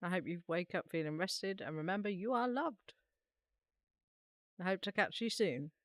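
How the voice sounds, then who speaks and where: A woman's voice: fairly low pitch, animated, moderate pace, dry recording, clean studio sound. A woman streaming a game, chatting playfully to viewers and reading dialogue aloud over music.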